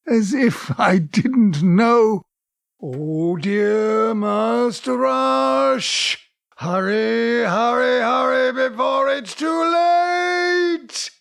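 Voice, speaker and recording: Old man, slow, creaky, wistful, self-mocking, audio drama monologue. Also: very high voice, no room sound, studio quality, full character voice. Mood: agitated. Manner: theatrical, musing, self-mocking. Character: forgetful, grumbling, wistful man of eighty.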